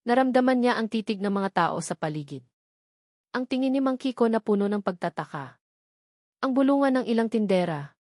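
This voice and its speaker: A woman's voice: medium pitch, a little mellow, no echo, studio quality. A woman narrating a scripted dramatic love story.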